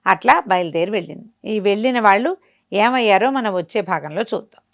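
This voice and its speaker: A woman's voice: mid-range voice, lively intonation, brisk. A woman telling a folk tale conversationally, often asking and answering her own questions. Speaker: storyteller. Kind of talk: spoken folk-tale storytelling.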